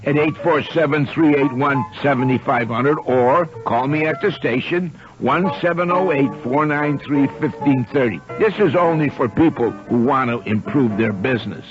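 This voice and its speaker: Insistent radio pitchman over music. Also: normal speed, few pauses. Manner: insistent, plain-spoken hard sell. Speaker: radio station salesman and personality. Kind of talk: personal sales pitch on radio. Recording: dull lo-fi radio recording with music. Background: clear music bed.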